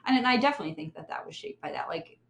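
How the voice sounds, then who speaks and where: A woman's voice: a little high, expressive, fairly bright tone. A woman chatting casually and reminiscing about family in an easygoing way. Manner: easygoing, quick to laugh. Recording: echoey, roomy sound.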